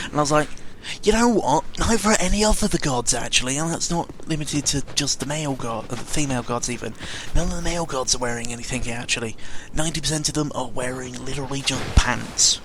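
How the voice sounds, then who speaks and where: A man's voice: mid-range voice, fast, few pauses. A young British man giving a casual, sweary, rambling audio log in the manner of a gaming vlogger. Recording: clearly noisy amateur recording with ambient sound.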